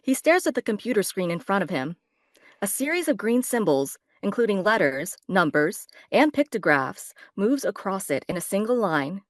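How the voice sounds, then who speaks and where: A woman's voice: mid-range voice, very animated, normal speed, no echo, studio quality. A woman narrating scripted stage directions at a table read.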